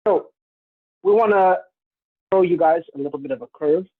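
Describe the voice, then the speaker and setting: A man's voice: fairly high pitch, moderate pace, no echo. A young man co-hosting a casual podcast, laughing often and teasing his friend. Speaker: podcast co-host. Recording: phone-like narrow sound.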